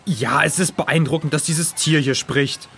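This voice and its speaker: Male actor: defensive, pedantic lifeguard for children's radio play, slightly high voice, faint ambient noise. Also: measured pace, creaky, a bit bright. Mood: defensive, officious. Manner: officious, pedantic, flustered. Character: fussy, officious male pool lifeguard, defensive. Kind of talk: children's audio drama.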